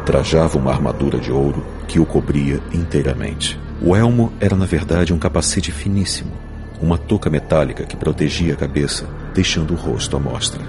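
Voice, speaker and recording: A man narrating an audiobook story in a solemn, measured, theatrical manner. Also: very deep voice. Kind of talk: dramatic scripted audiobook narration. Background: music.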